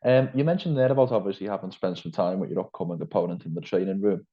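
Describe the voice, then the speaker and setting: A man's voice: low voice, quick pace, highs cut off. A man with a Liverpool accent interviewing a guest with long, hedging questions. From England. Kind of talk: interview over a call.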